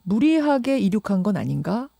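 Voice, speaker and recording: A woman's voice: medium pitch, big pitch swings, moderate pace, creaky, mellow, no room sound, clean studio sound. A woman hosting a radio news show, interviewing in a conversational, engaged way and restating what she hears. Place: radio studio. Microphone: close studio microphone.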